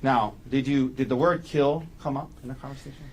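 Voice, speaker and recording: A man speaking as an attorney in courtroom questioning, putting halting, leading questions and checking each with a short tag. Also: medium pitch, steady tone, a little mellow, a little hiss or hum. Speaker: defense attorney questioning a witness.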